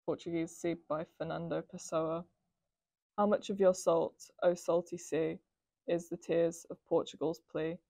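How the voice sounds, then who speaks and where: Teen girl, poetry reading, dry, mellow and slightly gravelly. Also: on the low side, flat delivery, measured pace, no room sound. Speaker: student reading a poem.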